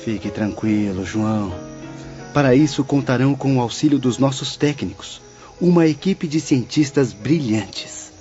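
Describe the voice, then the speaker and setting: A man playing a gentle, earnest spiritual teacher, speaking in a solemn, formal way in an audiobook drama. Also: medium pitch, a little slow, natural voice. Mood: reassuring. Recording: dull lo-fi audio under music.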